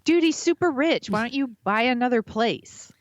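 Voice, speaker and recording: A woman's voice: fairly high pitch, expressive, moderate pace, no room sound. A woman co-hosting a podcast, reacting in casual chat with a blunt, sweary, exclamatory manner, on slightly dull audio. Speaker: podcast co-host.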